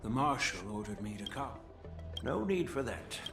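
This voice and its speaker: A man's voice: medium pitch, sing-song, moderate pace, vocal fry. An actor playing a proud, formal old male elder in video game story dialogue. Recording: game music behind, roomy sound.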